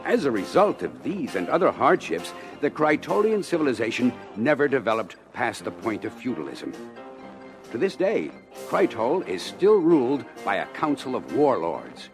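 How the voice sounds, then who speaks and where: A dignified government minister in a sci-fi audio adventure. His mid-pitched voice swings expressively through formal, oratorical phrases, with clear music behind it on a vintage LP. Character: grave, dignified male government minister of space colony. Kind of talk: audio adventure record acting, briefing speech.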